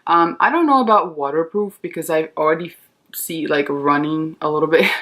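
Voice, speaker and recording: Lower-pitched woman chatting casually. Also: normal speed, fairly bright tone. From Brazil. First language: Portuguese. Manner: candid, reassuring, informal. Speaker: beauty vlogger. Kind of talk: casual chatty makeup tutorial.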